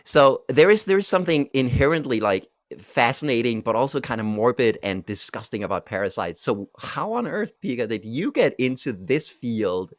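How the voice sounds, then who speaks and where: A man co-hosting a podcast, telling stories in a halting way, with many fillers. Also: mid-range voice, lively intonation, no room sound, clean studio sound. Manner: hesitant, many uh fillers, storytelling. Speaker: podcast co-host. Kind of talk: casual podcast chat.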